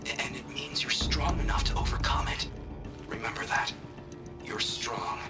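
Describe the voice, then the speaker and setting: A man playing a gentle scientist in a video game, reassuring and halting, with a breathy, hushed voice. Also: mid-range voice, steady tone, distant mic, loud music behind the voice, lightly acted. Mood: tender, reassuring. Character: gentle, reassuring male scientist comforting his AI.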